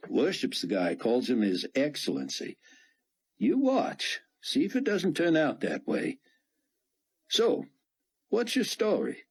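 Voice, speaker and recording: A man's voice: fairly high pitch, huge pitch swings, slow, creaky, long pauses, husky, voice pushed up, exaggerated voice. A man voicing a brash, joking male smuggler pilot with a folksy Southern US drawl. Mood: bantering.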